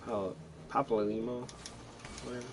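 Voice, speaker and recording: A man's voice: medium pitch, steady tone, normal speed, music in the background. A man giving casual let's-play commentary in a laid-back, hesitant, rambling way. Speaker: gaming commentator.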